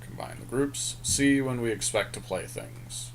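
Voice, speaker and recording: A man's voice: mid-range voice, unhurried, slight background noise, rough recording. A man co-hosting a podcast, chatting casually and thinking aloud in informal slang as he talks through a card game draft. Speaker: podcast co-host.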